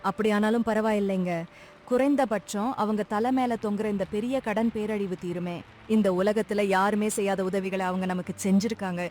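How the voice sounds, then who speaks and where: A woman's voice: mid-range voice, fairly even intonation, moderate pace, a little mellow, a little hiss or hum, close to an everyday voice. A woman acting a compassionate wife in a story drama, earnest and urging. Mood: earnest concern.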